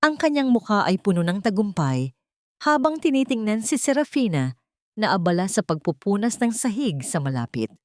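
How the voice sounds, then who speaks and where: A woman narrating a scripted drama in a solemn, deliberate, dramatic storytelling manner. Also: medium pitch, very animated, no echo, clean studio sound.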